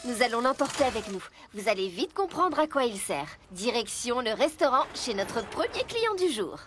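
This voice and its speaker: A woman's voice: fairly high pitch, big pitch swings, normal speed, fairly bright tone, a little hiss or hum. A woman voicing a cheerful, helpful truck mechanic in a children's cartoon, upbeat and explanatory. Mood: cheerful, instructive.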